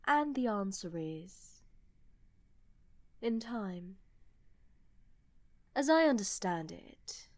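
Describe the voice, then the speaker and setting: A woman's voice: mid-range voice, darkish tone, no room sound. A woman playing a blunt, pragmatic empress with a dry, sardonic, haughty manner in a fantasy audio roleplay.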